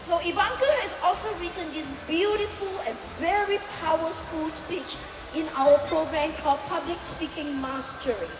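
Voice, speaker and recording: A woman's voice: very high-pitched, steady tone, moderate pace, far from the mic. A middle-aged woman hosting a stage event, introducing a student and promoting a speaking program. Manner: upbeat, promotional. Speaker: event host, speaking coach. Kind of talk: emcee introduction. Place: indoor mall stage. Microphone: handheld mic, far camera. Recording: echoey mall heard from afar with music.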